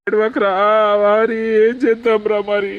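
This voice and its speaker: An actor playing a village father calming his crying son. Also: high voice, measured pace, narrow, tinny audio. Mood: comforting. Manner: calming, firm. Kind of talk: village drama acting.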